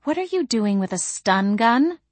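A woman voicing a blunt, protective young female best friend in an audiobook, with a brisk, teasing manner. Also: a little high, expressive, no echo, pitched above the performer's own voice. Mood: indignant.

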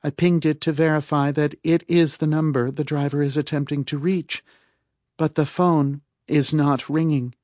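A man voicing a calm, formal female artificial intelligence in an audiobook, speaking in a measured, precise way. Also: a little high, dry recording, studio quality, pitched above the performer's own voice, close to an everyday voice. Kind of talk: audiobook character voice.

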